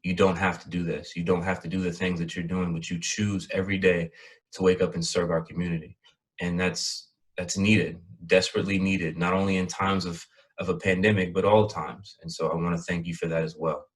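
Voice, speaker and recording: Deep husky man, earnest and reflective. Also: little pitch movement, a little fast. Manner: earnest, reflective, with many fillers. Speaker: guest speaker. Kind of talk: heartfelt conversation on a call. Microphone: video call.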